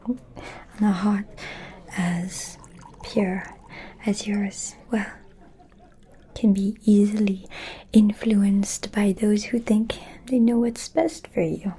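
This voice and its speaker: Woman playing a slow whispering vampire noblewoman. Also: medium pitch, steady tone, mellow, full character voice. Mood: sly, insinuating. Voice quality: breathy whisper. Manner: slow, deliberate, insinuating. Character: scheming, haughty vampire noblewoman, honeyed and manipulative. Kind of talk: whispered ASMR roleplay.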